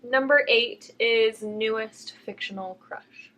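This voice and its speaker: A woman's voice: on the high side, slow pace, a bit bright, highs cut off, slight background noise. A young woman book vlogger chatting casually, with a playful, teasing and gushing manner. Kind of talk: casual chat.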